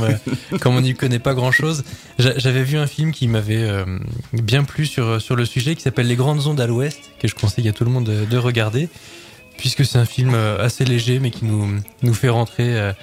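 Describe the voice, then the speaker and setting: A man's voice: mid-range voice, a little mellow. Chatty male radio co-host. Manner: quick, chatty, some fillers. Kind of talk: casual radio chat, reading a film synopsis.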